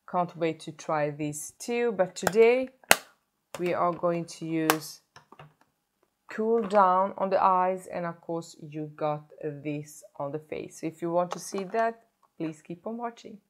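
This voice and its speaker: A woman in her thirties talks close to the camera in a fairly low voice, a little hoarse from a sore throat. She chats casually, breaks off to correct herself, and walks through each product as she shows it. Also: slow pace, background noise. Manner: chatty, self-correcting, stops to show products. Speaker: beauty vlogger. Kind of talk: casual makeup tutorial. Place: indoor home filming set.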